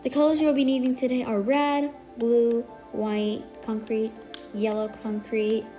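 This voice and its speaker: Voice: mid-range voice, level tone, a little slow, mellow. A tutorial maker who is a bit sick today talks step by step through a building tutorial, counting out blocks and correcting small slips along the way. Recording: music playing under the voice.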